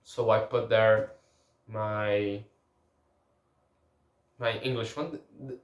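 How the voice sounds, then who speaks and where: A man whose first language is Portuguese talks slowly and haltingly, restarting his sentences as he hunts for words. His medium voice rings in a roomy, echoey space. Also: lowish voice, fairly even intonation. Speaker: language learner. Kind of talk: casual spoken language diary.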